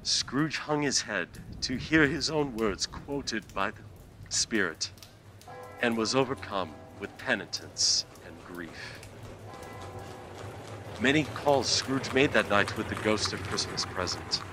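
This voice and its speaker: A man's voice: fairly low pitch, animated, slow pace, bright, forceful tone, soft background music, heavily acted. A man giving scripted storybook narration as the narrator of a radio play.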